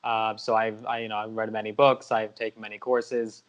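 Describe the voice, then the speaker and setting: Flat-voiced young man, smooth tone. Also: fairly low pitch, moderate pace. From United States. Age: young adult. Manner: steady, lots of "uh" and "you know". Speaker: guest. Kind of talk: interview answers.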